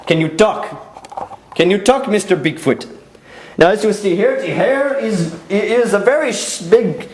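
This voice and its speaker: A man's voice: sits high, a little mellow, a little hiss or hum, natural voice. A man voicing a naive, chatty Indian TV field reporter in a comedy sketch, speaking rambling broken English with an Indian accent. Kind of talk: parody news field report. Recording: roomy echo, faint music behind.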